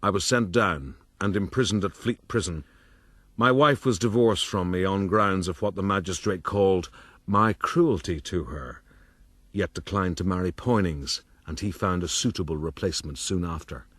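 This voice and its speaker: An actor playing a proud, roguish gentleman who narrates his own story in a florid, boastful, rueful way for a period radio drama. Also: low voice, moderate pace, slightly rough, no room sound.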